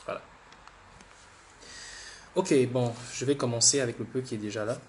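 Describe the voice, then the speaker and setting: Guy hosting a political live stream, got the flu, mid-pitched voice, keeps repeating himself and stumbling over words. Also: steady tone, moderate pace, slight background noise. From Gabon. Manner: repetitive, restarts words, keeps urging viewers. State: has the flu. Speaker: livestream host. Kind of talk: political livestream commentary.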